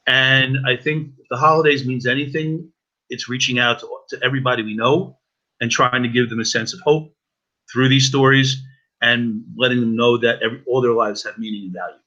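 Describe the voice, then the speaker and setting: Bright-voiced male guest, sincere and halting, muffled lo-fi call audio. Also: mid-range voice, steady tone. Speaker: guest speaker. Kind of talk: personal reflection on a video call. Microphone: video call.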